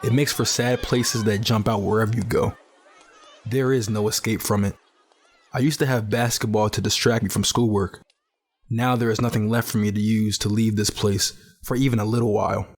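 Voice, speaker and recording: A man's voice: lowish voice, lightly acted. A man playing a grieving, bitter teenage survivor in a post-apocalyptic audio drama, earnest and brooding. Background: music.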